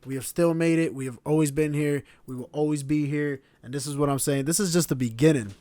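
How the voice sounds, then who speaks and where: A man's voice: fairly high pitch, a little fast, no room sound. Proud, casual man on podcast. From born in Slovenia, raised in Connecticut. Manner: relaxed, proud, repetitive phrasing. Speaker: podcast host. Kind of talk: casual monologue to camera. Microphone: camera mic.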